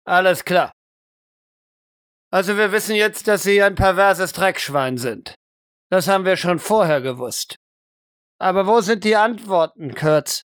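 A man's voice: high voice, animated, measured pace, long pauses, a bit bright, clear, resonant tone, no room sound, clean studio sound, pitched above the performer's own voice. A man voicing a harsh, impatient doctor who interrogates a prisoner with formal, accusing demands in a roleplay audio drama. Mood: accusing, demanding.

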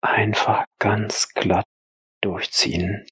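A man narrating an audio drama in a dramatic scripted style, husky and gravelly, with terse, weighty phrases and pauses. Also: lowish voice, level tone, fairly bright tone, no room sound.

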